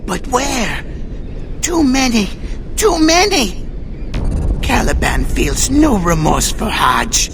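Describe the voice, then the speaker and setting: An actor playing a wretched, drained, loyal mutant in a video game, halting and creaky. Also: mid-range voice, very animated. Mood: anguished. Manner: halting, speaks of self in third person. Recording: clear background noise.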